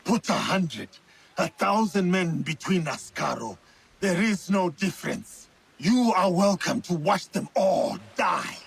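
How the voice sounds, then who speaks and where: A man's voice: sits high, expressive, slow pace, vocal fry, slightly rough, heavily acted. A man voicing a vengeful, resolute samurai in a video game, solemn and defiant in manner. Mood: fierce threat. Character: vengeful, resolute male samurai, a former slave. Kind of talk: dramatic video game acting.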